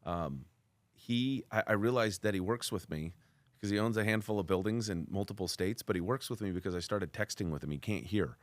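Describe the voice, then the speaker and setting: A man hosting a podcast interview, talking loudly and freely in casual conversation. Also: lowish voice, a little fast, no room sound. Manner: loud, talkative. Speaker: podcast host, commercial real estate broker.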